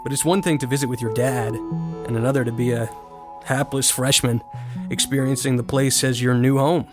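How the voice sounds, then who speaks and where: A man's voice: mid-range voice, steady tone, moderate pace, close to an everyday voice. An actor playing a privileged, cocky American college freshman in an audio drama, speaking and narrating in a casual, dry, self-assured way. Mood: reflective. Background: music bed.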